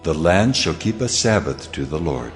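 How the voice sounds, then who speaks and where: A man's voice: deep voice, very animated, moderate pace, soft background music. A man playing God as a solemn, commanding lawgiver in a dramatized scripture reading. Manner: solemn, authoritative, declarative. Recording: long cavernous reverb.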